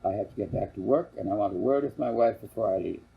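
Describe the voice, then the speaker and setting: A man's voice: on the low side, fast, gravelly, lo-fi sound. A man voicing a plain-spoken, skeptical farmer father with a blunt, firm manner in a storybook.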